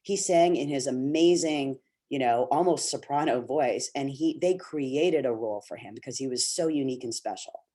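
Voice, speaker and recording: A middle-aged man with a soft, mellow voice at a medium pitch speaks over a video call. He talks earnestly and encouragingly to young actors, often pausing with fillers and restarting his sentences. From Canada. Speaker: actor speaking on a casting webinar. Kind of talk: candid talk to young actors.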